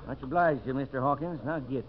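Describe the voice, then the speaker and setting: A man's voice: mid-range voice, fast talker, a little hiss or hum, natural voice. An actor playing a folksy frontier gunman and woodsman with a drawling manner in a 1950s radio drama. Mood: firm. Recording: muffled old radio recording with crowd.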